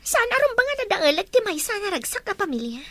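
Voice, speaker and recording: A woman acts out a young mistress full of regret in a radio-style drama. Her voice is extremely high and bright, with an earnest, pleading way of speaking. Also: sing-song, fast talker, no room sound, exaggerated voice. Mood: resigned. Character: remorseful pregnant young mistress, tearful and pleading. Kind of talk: Ilocano audio drama acting.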